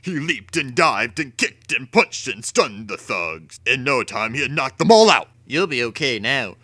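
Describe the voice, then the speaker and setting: A man's voice: medium pitch, sing-song, a little slow, sharp tone, no echo, clean studio sound. A man giving a theatrical, over-emphatic dramatic reading of a children's storybook. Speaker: storybook reader.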